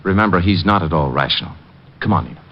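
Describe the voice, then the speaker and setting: An actor playing a brisk, controlling doctor in an old-time radio drama, authoritative and dismissive. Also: male voice, sits low, animated. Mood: firm, dismissive. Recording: dull old radio recording.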